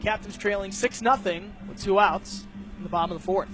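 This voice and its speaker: Guy doing live baseball radio play by play, pretty high voice, calls each pitch. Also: a little fast, over music, rough recording. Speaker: play-by-play announcer.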